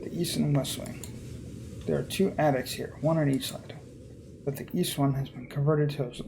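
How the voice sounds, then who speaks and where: A man's voice: a little high, creaky, warm tone, a little hiss or hum, low-quality recording. A man reading a horror visual novel aloud as dramatic narration, now and then stumbling over words and rereading them.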